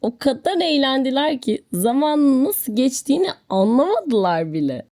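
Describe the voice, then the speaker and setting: A woman narrating a children's story in an expressive, scripted storytelling style. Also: sits high, normal speed.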